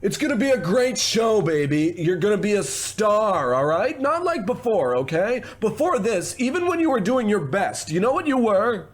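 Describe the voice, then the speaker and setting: A man's voice: sits high, sing-song. A man playing a slick, pushy, glib producer who sweet-talks like a salesman in an old-time radio comedy. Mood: cajoling. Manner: fast-patter salesman, gushing pet names.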